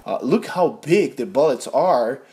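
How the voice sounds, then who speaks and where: A man's voice: mid-range voice, lively intonation, mellow. Young Brazilian man narrating a vlog. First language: Portuguese. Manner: earnest, slightly halting non-native English. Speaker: gaming YouTuber. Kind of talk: casual voice-over vlog.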